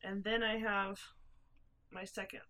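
A woman playing in a tabletop game, hesitant and giggly, with many pauses and fillers. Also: mid-range voice, little pitch movement, sharp tone. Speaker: player. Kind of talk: casual tabletop play.

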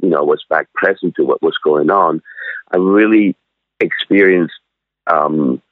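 Halting older man on a tinny phone line. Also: very deep voice, no room sound. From Switzerland. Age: 60s. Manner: halting, many fillers and restarts. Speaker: guest, vegan restaurant owner. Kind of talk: casual interview storytelling.

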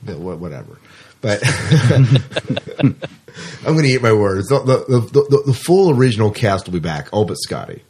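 A man hosting a casual podcast chat, putting questions to guests in an informal, halting way. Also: on the low side, big pitch swings, a little mellow, slightly rough. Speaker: podcast host. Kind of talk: casual chat, asking guests about sci-fi news.

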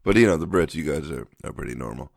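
A man co-hosting a casual comedy podcast, joking and laughing through quick back-and-forth chat. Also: extremely low pitch, lively intonation, gravelly, no echo, studio quality. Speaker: podcast co-host.

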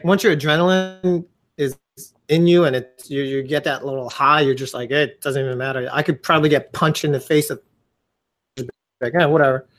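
Animated, joking podcast host, higher voice. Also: male voice, brisk. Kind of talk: casual comedic chat.

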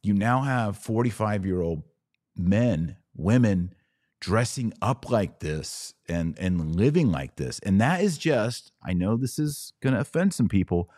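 Man, middle-aged, low-pitched voice, preachy podcast monologue, emphatic conversational delivery, close and dry sound. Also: expressive, measured pace, a little mellow, no echo. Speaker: podcast host. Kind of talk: live podcast monologue, religious commentary.

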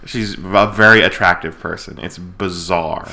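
A man with a deep voice, co-hosting a casual chat with a sardonic, casually swearing manner. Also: steady tone, a little slow, pushed and bright. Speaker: podcast co-host.

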